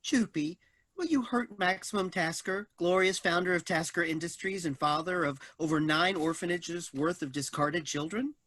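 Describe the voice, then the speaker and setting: A woman's voice: fairly low pitch, normal speed, fairly bright tone. A woman voicing an officious, literal-minded robot assistant in a stilted, formal manner for a staged comedy reading.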